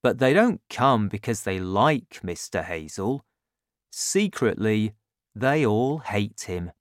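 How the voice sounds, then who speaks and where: A father with a lowered, hushed voice, confiding and scheming, as a man reads him aloud. The lines come slowly, with lively shifts in pitch. The sound is dry and close. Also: no room sound. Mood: resentful. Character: warm, scheming father, quietly resentful. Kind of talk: audiobook character voice.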